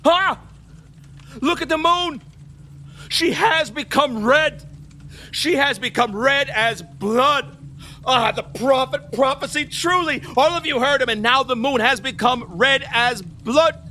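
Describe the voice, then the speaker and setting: A man's voice: very high-pitched, slow pace, bright, forceful tone, slight background noise, exaggerated voice. A male actor playing a decadent, superstitious middle-aged king in an audio drama, grandiose and volatile, moving between murmurs and cries. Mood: terrified. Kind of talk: theatrical audio drama acting.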